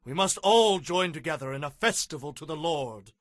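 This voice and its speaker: A man's voice: on the high side, expressive, normal speed, dry recording, clean studio sound, close to an everyday voice. An actor playing a firm, commanding male prophet in an audio Bible drama.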